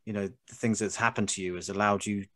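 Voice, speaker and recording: Male interviewer, lowish voice, conversational, recorded very dry and close. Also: steady tone, fast talker, bright, forceful tone, dry recording. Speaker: podcast host, interviewer.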